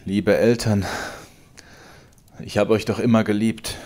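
A man's voice: fairly low pitch, little pitch movement, unhurried, darkish tone, pitched above the performer's own voice, lightly acted. A man reading a story voices a desperate young man, tense and pleading. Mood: tender.

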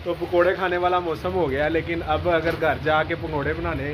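Pakistani guy in his 30s, selfie phone vlog, fairly high voice, loud rainy street noise behind. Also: flat delivery, a little fast. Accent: Pakistani Urdu. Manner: chatty, keeps saying "to" between sentences. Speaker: vlogger. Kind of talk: casual vlog to camera. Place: outdoors under a shop shed in the rain at night. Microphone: phone at arm's length, selfie.

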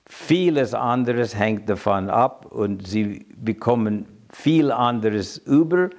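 A man in his seventies with an American accent and English as his first language, giving a reflective personal account and haltingly searching for words. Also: mid-range voice, a little mellow. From United States. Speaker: interviewee telling his migration story. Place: quiet room indoors.

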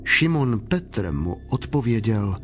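A man's voice: fairly low pitch, big pitch swings. A man narrating scripture from a script as part of a dramatized reading. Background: faint background music.

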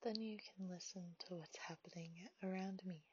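Woman meditation guide: breathy half-whisper, unhurried delivery, muffled dry home recording. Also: mid-range voice, fairly even intonation. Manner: soft, half-whispered, slow and soothing.

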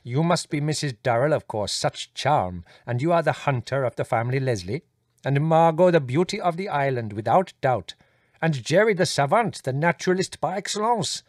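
A man voicing an excitable, earnest male guest who speaks in a pedantic, effusive, formal way. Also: on the high side, normal speed, no echo, clean studio sound, pitched above the performer's own voice. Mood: excited.

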